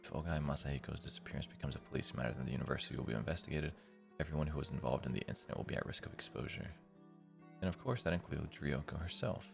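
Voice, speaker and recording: A man's voice: very deep voice, rapid-fire, creaky. A man live streaming, reading a visual novel's narration aloud and breaking off to comment casually. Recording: music behind the voice. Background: guitar music from the game.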